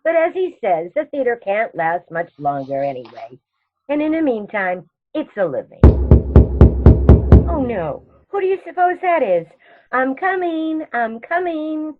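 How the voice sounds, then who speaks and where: A woman's voice: a little high, very animated, measured pace, narrow, tinny audio, soft background music. A woman playing a sweet, genteel, chatty elderly aunt in a radio comedy. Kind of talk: radio comedy theatre acting.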